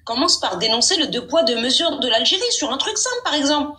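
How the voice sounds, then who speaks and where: A woman's voice: high voice, lively intonation, fast talker, pushed and bright. A Moroccan woman hosting a live stream debate, insistent and talking over her opponent, repeating her points.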